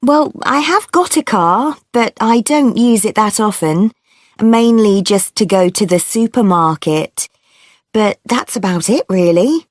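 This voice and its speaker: A woman plays a friendly female passenger answering survey questions in an everyday scripted dialogue. She is chatty and obliging, with a medium pitch and a slow, careful pace. The sound is dry and close. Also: expressive, no room sound, natural voice. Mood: mildly critical.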